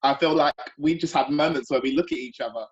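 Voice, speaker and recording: An earnest, affectionate man talks warmly to a friend over a video call. His voice sits slightly high for a man. The audio is dull and lo-fi. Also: fairly even intonation, quick pace, slightly rough. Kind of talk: casual heartfelt chat.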